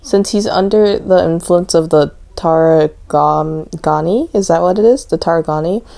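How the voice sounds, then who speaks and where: Mellow-voiced woman doing casual game commentary. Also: lowish voice, normal speed, vocal fry. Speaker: streamer playing a game.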